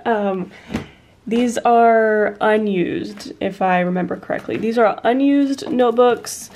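A woman's voice: fairly high pitch, slow pace, a little mellow, a little hiss or hum. Young woman vlogger, stuffed-up and slightly breathless, casual rambling chat with lots of fillers, home office at a desk. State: congested, short of breath. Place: small home office, indoors.

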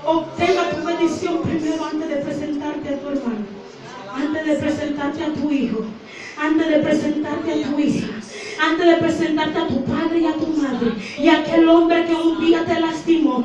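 A woman's voice: high voice, fairly even intonation, moderate pace, a little mellow, recorded from a distance, lo-fi sound. A middle-aged woman preaching a fervent Pentecostal sermon in a declamatory way, punctuated with repeated hallelujahs. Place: small church hall, indoors. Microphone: handheld wired microphone through speakers, filmed from a distance. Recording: distorted, echoing church sound, recorded from afar.

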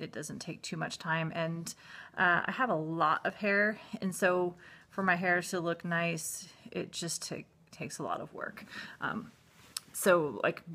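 Low, creaky-voiced American woman chatting. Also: fairly bright tone. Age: 30s. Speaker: vlogger. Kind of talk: casual personal vlog update. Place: indoors at home, living room. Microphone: handheld phone at arm's length.

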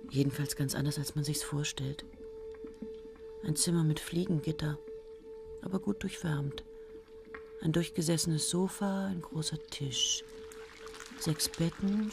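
An actress playing a bewildered woman newcomer who slowly muses about the room she finds herself in, in a radio play. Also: low voice, creaky. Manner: slow, musing, wondering. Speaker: narrator and main character. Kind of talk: 1970s radio play acting.

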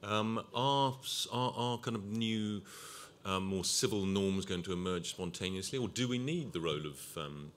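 Deep-voiced man chairing a panel. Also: moderate pace, fairly bright tone, a little hiss or hum. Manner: hesitant, many restarts, thoughtful. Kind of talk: moderating a panel discussion.

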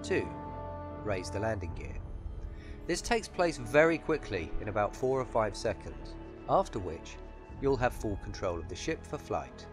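Male tutorial narrator for game add-on, scripted explanatory read, animated intonation, music underneath. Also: on the low side, a little slow. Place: voice-over with music behind.

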